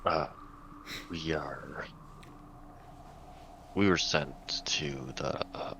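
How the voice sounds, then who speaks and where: A man's voice: very deep voice, steady tone, extremely slow, bright, forceful tone. A man improvising in-character dialogue as a tabletop game player, hesitant and groping for his words. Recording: dull audio, faint background noise.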